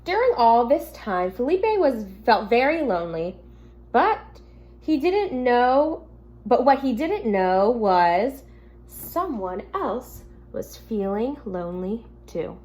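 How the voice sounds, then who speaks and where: A woman teacher speaking gently and simply to young children, reading a picture book aloud at home with casual asides. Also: fairly high pitch, big pitch swings, takes it slowly. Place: at home in an apartment. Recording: noticeable background noise, home recording.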